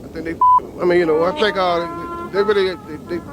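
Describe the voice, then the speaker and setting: Halting, quick-spoken man on the street. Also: high voice, fairly even intonation, very noisy. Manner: halting, repeats himself. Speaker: passer-by being interviewed. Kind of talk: impromptu street interview. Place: outdoors on a city street.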